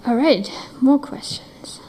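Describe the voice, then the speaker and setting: A woman moderating questions at a conference session in a meeting room, upbeat and brisk. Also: high voice, warm tone, big-room sound, slight background noise, low-quality recording.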